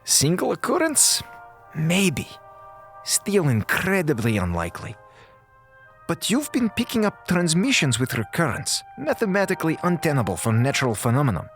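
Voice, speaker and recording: Audio drama scientist: brusque, formal, pedantic man, slightly high lively voice with precise diction. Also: normal speed, faint music behind, heavily acted. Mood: brisk, analytical.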